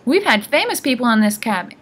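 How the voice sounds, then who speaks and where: A woman voicing a greedy, irritable old hag in an audiobook, shrill and demanding. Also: sing-song, fairly quick, fairly bright tone, breathy edge, full character voice. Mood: grabby.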